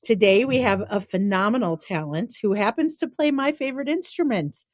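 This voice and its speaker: A woman co-hosting a podcast, chatting casually with lively reactions and a rambling way of talking. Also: a little high, normal speed, no echo, clean studio sound. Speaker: podcast co-host. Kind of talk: casual chat.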